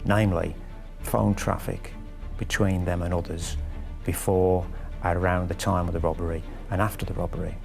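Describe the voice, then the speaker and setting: A man with a low, gravelly voice gives a documentary interview. He explains court proceedings in his own words, with music beneath. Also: level tone, moderate pace, hardly any pauses, a little mellow. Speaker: expert interviewee.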